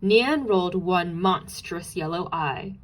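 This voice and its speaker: A woman's voice: on the low side, takes it slowly. A woman narrating a children's storybook aloud, expressively, with dramatic emphasis on key and repeated words.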